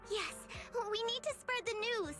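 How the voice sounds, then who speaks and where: A woman's voice: extremely high pitch, lively intonation, normal speed, over music. A woman voicing a small, eager, chirpy girl sidekick in an anime video game. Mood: curious, upbeat.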